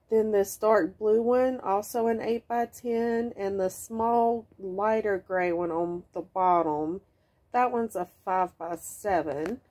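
Woman vlogger, unhurried, chatty show-and-tell on a phone camera. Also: medium pitch, warm tone. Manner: chatty, explains items plainly. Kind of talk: casual show-and-tell vlog.

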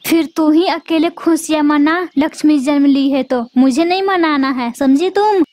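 A woman's voice: high-pitched, normal speed, darkish tone, no room sound, lightly acted. A woman voicing an old mother-in-law bird in a cartoon, who scolds sharply and then begs forgiveness. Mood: scolding. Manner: scolding, then tearfully pleading. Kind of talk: cartoon voice acting.

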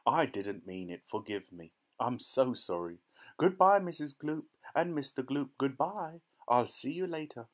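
A man's voice: lowish voice, measured pace, few pauses, lightly acted. A man voicing an eccentric, gleeful chocolate maker in a children's storybook, breezy, emphatic and theatrically reassuring. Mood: playful apology.

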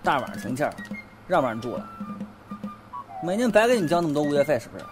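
An actor playing a flustered, excitable, blustering young man in a Chinese audio drama, swinging from muttering to shouting. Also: a little high, quick pace, over music. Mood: indignant.

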